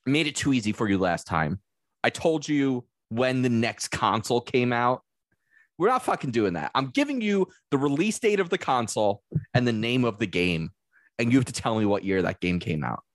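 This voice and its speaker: A man's voice: medium pitch, lively intonation, moderate pace, no echo. Man, podcast host, coughing, casual profane banter, dry close sound. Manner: loose, profane, laughs a lot. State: dry throat, lingering cough. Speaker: podcast host running a quiz game.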